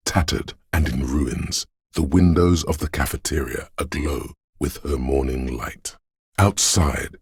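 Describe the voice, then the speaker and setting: Game narrator, mystical inner voice of a city: male, slow, gravelly, husky, solemn and brooding, close dry sound. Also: very deep voice, no room sound, exaggerated voice. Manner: solemn, measured, ominous poetic delivery. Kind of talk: scripted literary game narration.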